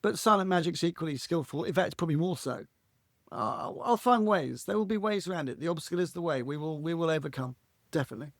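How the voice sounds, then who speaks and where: Husky-voiced man, dry close sound. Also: fairly high pitch, animated, fast, dry recording. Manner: rambling, restarts phrases, earnest. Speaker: podcast guest. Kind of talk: reflective conversational interview.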